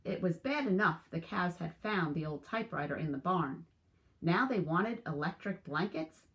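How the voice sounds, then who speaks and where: A woman reading a picture book aloud to children like a teacher, with deliberate, instructive phrasing. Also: on the low side, lively intonation, a bit bright, low-quality recording.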